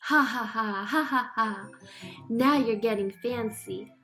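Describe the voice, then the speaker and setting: Lady doing a cheeky storybook leprechaun, boastful rhymes, high voice, unhurried, little music behind. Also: a bit bright. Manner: boastful, teasing, singsong rhyming. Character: cocky, mischievous two-hundred-year-old male leprechaun. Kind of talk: picture book read-aloud.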